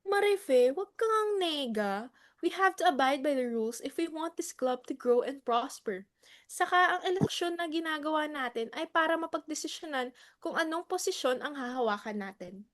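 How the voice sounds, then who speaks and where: A high-pitched Filipino woman's voice as an actress plays her, that of a motherly club leader in an audio drama. She is brisk and civic-minded, with a teasing streak. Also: lively intonation. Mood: earnest, organizing. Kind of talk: dramatic reading, Tagalog-English dialogue.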